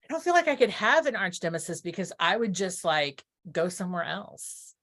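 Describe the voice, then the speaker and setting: A woman's voice: on the low side, very animated, normal speed, a bit bright. A middle-aged woman from Texas giving casual interview answers, thinking aloud and correcting herself as she goes. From Texas, United States. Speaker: interview guest, theatre producer.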